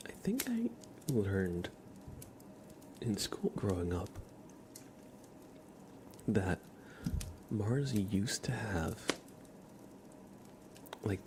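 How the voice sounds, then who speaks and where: Man, deep creaky voice, soft ASMR delivery, drifting into whispers, audible ambient noise. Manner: soft-spoken, often dropping into whispers. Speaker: livestream host. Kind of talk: ASMR livestream chat.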